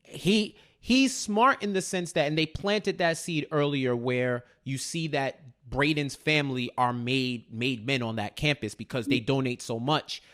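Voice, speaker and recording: A man's voice: mid-range voice, unhurried, hardly any pauses, fairly bright tone. A man co-hosting a casual TV recap discussion, speaking in a colloquial, slangy way and repeating phrases for stress. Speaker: podcast co-host.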